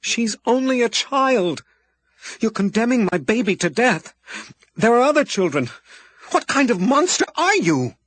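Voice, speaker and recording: A man reading a desperate young mother in an audiobook, her lines pitched up and pleading. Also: animated, moderate pace, slightly rough, dry recording. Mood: anguished. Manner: raised pleading, breaking into wails.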